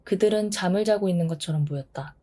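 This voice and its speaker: A woman narrating a children's storybook in a calm, measured reading. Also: on the low side.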